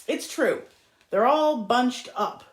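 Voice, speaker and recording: Woman reading as a nosy boy ape pal in a kids book, frank but concerned, swoopy pitch, echoey. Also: expressive, slow. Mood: matter-of-fact. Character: well-meaning, nosy male ape friend. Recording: echoey room.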